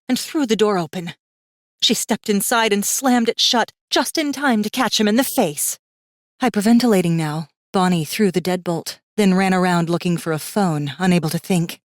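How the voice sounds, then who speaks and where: A woman's voice: medium pitch, no echo, clean studio sound. A woman narrating a fantasy audiobook in a dramatic, scripted style with tense, suspenseful storytelling.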